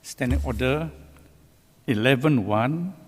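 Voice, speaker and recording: A Malaysian-accented man presides over a legislative sitting. His voice is husky, and he sets out procedure firmly and formally. The sound rings with the long reverb of a large chamber. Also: mid-range voice, takes it slowly. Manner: firm, official. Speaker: assembly speaker presiding. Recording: echoey hall with long reverb.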